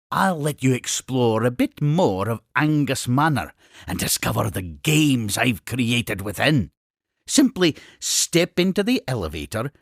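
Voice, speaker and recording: A man's voice: medium pitch, no room sound, clean studio sound, full character voice. A man voicing an exuberant, eccentric Scottish explorer-inventor creature in a cartoon game, grandiose and effusive with old-fashioned phrasing. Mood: scheming, coaxing. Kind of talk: theatrical cartoon game character dialogue.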